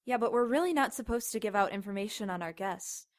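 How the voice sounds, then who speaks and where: An actress playing a chatty, casually sarcastic young motel desk clerk in an audio drama. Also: female voice, medium pitch, fast talker, close to an everyday voice. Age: young adult. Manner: casual, sarcastic, then terrified.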